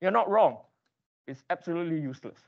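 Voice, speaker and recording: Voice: mid-range voice, animated, fast talker, gravelly, phone-quality sound. An engineering lecturer teaching interactively in a classroom, quizzing students with emphatic, repeated questions and prodding them for answers.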